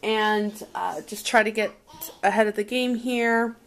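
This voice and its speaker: A woman's voice: medium pitch, steady tone, measured pace. A woman vlogger talking casually indoors at home in a rambling, think-aloud way, recorded on a handheld camera. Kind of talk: casual chat, showing a grocery haul. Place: indoors at a home dining table. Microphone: handheld camera microphone. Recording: noisy handheld camera audio with clicks.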